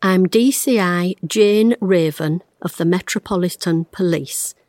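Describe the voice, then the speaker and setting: A woman's voice: fairly low pitch, measured pace, a little mellow, no room sound, lightly acted. An actress, playing a wry, blunt retired woman detective in an audio drama, recorded close and dry. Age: 50s. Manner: wry, blunt, self-mocking, fraying under strain.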